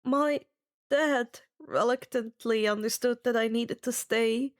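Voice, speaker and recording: A woman narrating fan fiction in an expressive audiobook style. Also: a little high, takes it slowly.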